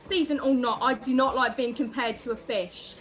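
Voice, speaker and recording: A woman's voice: a little high, normal speed, lightly acted, poor audio. A woman playing a sassy, flirtatious waitress with snappy comebacks in a 1950s radio detective drama. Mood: indignant. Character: sassy, flirtatious female diner waitress, quick to bristle.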